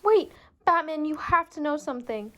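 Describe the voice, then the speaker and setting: A plucky female newspaper reporter, played by a woman, with a high voice. She speaks earnestly, in the manner of an amateur superhero radio drama. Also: expressive, normal speed, a bit bright. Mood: earnest, urgent.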